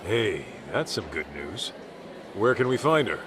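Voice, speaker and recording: A man playing an easygoing, gruff middle-aged private detective in a video game, talking in a casual way. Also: on the low side, big pitch swings, measured pace, noisy background. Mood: businesslike. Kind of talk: video game cutscene acting.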